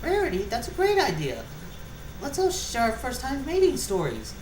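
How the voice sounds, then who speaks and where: A man voicing a giggly, excitable young female cartoon pony in a bubbly, eager manner, in a roomy, noisy recording. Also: very high voice, normal speed, far from the mic, pitched above the performer's own voice, full character voice. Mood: excited.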